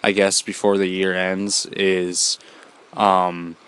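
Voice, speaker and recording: A man's voice: sits low, flat delivery, takes it slowly, a bit bright, slight background noise. A young man hosting a solo podcast, talking in a rambling casual way with many fillers and restarts, a bit congested from a cold. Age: young adult. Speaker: podcast host.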